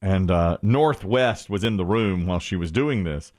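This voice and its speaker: A man's voice: sits low, moderate pace, no room sound, clean studio sound. A man hosting a morning radio show, telling stories in casual banter and mimicking other people's voices. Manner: animated storyteller, mimics voices.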